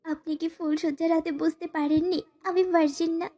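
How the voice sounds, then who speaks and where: A woman's voice: very high-pitched, steady tone, normal speed, warm tone. A woman voicing a timid, sorrowful young wife who narrates a dramatized romantic story and pleads submissively. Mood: hesitant, confessing. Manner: formal, submissive address to her husband.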